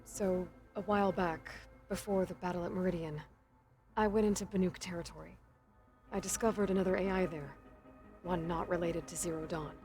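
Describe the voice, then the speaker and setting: A woman's voice: lowish voice, steady tone, brisk, darkish tone, faint music behind, close to an everyday voice. A woman voicing an earnest young huntress. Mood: thoughtful. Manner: earnest, direct questions. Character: determined young woman hunter, earnest and direct.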